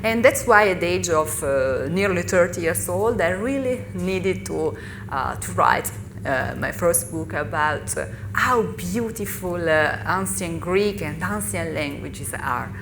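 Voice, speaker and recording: A woman in her thirties from Italy, with an Italian accent and Italian as her first language, speaking haltingly as a panelist at a live discussion. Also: fairly low pitch, lively intonation. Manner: halting, searching for English words. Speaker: panelist, author. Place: festival venue. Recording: live panel recording with noise.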